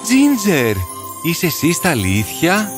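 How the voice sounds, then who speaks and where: A man's voice: fairly high pitch, huge pitch swings. Earnest, sorrowful horse storyteller played by a man. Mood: tender, grieving. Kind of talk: storybook cartoon narration. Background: music.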